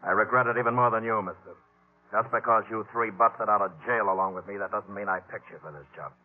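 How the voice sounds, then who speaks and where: An actor playing a bossy, menacing gang leader in a 1950s radio western, curt and scornful. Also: male voice, on the low side, fast, close to an everyday voice. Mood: scornful, irritated. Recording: muffled old 1950s radio recording.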